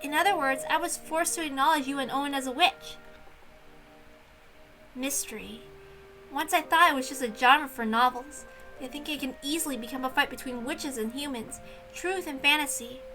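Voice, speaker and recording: A woman's voice: high-pitched, normal speed, pushed and bright, faint music behind, low-quality recording. A woman voicing a bookish, thoughtful young mystery fan, earnest and musing, in a dramatic visual novel reading. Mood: reflective.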